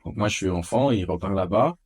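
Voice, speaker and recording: Low-voiced man telling stories. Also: brisk, husky. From France. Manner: animated, lots of filler hesitations. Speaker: podcast guest. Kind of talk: casual storytelling. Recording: dull low-fidelity podcast audio.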